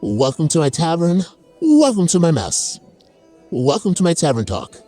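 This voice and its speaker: Casting: theatrical, chaotic fantasy barkeep played by a man, very animated delivery, mellow tone, oversharing comic storyteller. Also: a little high, normal speed, faint music behind. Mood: welcoming, mischievous. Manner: theatrical, wry, comically self-deprecating. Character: chaotic oversharing fantasy tavern keeper spinning tall tales. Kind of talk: dramatic first-person comic storytelling.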